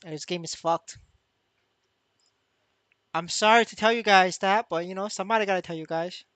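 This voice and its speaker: A man's voice: high-pitched, normal speed, fairly bright tone, no echo. A man livestreaming as a gamer in casual chat, stammering, repeating his words and swearing freely. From Vietnamese. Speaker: game streamer.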